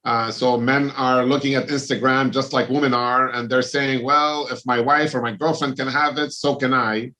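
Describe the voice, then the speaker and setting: A middle-aged man from Lebanon, a plastic surgeon, answering interview questions in a measured, explanatory way with many ums and uhs. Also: mid-range voice, steady tone, bright, forceful tone, low-quality recording.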